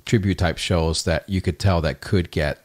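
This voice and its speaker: Low-voiced male podcast host, enthusiastic. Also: fairly even intonation, moderate pace. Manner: enthusiastic, complimentary, rambling. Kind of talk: casual interview chat.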